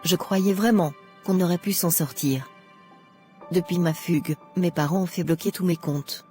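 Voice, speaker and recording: Lady playing regretful rich girl in a cartoon drama, soft dark tone, bit of music under. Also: lowish voice, moderate pace, natural voice. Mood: complaining. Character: discontented young rich girl, regretful but resolute.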